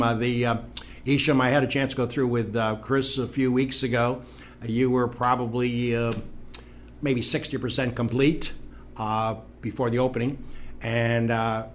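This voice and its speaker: Chatty middle-aged talk show host. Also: male voice, mid-range voice, a bit bright, a little hiss or hum. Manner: chatty, rambling with many fillers. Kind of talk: casual talk show hosting.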